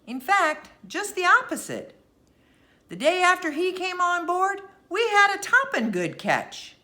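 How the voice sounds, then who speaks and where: A hot-headed teenage fisher boy from a storybook, acted out by a woman reader. He is eager and boastful, protesting in a bright, high voice that swings widely and slows for emphasis. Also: sing-song, heavily acted. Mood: indignant, proud. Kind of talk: storybook character voice.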